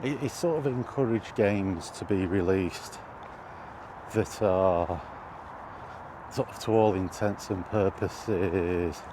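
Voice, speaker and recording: Low-voiced British man over outdoor noise. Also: slow pace. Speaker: video host. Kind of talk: rambling casual commentary while walking. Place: outdoors on a walking path. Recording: outdoor ambient noise throughout.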